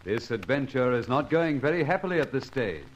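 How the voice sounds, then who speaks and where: A man announcing an old-time radio serial in a deliberate, dramatic manner. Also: mid-range voice, very animated, dull audio.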